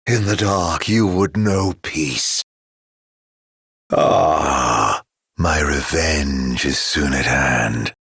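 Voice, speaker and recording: A grandiloquent immortal male villain from a video game, mocking and hungry for revenge. The voice is deep and rough with gravel, drawn out very slowly as he savors each sardonic phrase. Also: no echo, studio quality, full character voice. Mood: triumphant, vengeful. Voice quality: gravelly, husky. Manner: drawling, grandiloquent, sardonic, swinging from murmurs to shouts.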